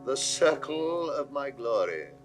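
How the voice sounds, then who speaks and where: A man's voice: mid-range voice, very animated, slow, music in the background. An actor playing a proud, anxious, imperious king in grand, declamatory 1960s Shakespearean style. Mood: imperious, urgent. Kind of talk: 1960s classical Shakespearean recorded acting.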